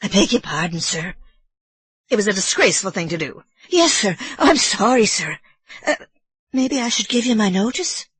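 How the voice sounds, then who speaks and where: A woman's voice: medium pitch, very animated, normal speed, a bit bright, slightly rough, no room sound, voice pushed up, exaggerated voice. A woman voicing an anxious elderly housekeeper woman with an Irish brogue, apologetic and deferential. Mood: hesitant. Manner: apologetic, deferential, sir-ing constantly.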